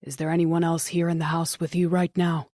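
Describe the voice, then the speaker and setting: Woman reading a gentle, grave police detective in his forties. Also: on the low side, flat delivery, fairly quick, dry recording, clean studio sound, natural voice.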